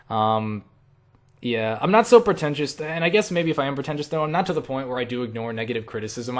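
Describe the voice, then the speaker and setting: A young man chatting casually in a question-and-answer vlog, rambling and self-deprecating, with casual swearing. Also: medium pitch. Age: young adult. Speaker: online video creator answering viewer questions.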